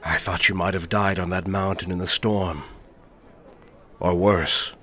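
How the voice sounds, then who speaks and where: Druid master played by a man: deep, unhurried, fatherly mentor with measured, solemn delivery for fantasy audio roleplay. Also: fairly even intonation, a bit bright, slight background noise. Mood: relieved, proud.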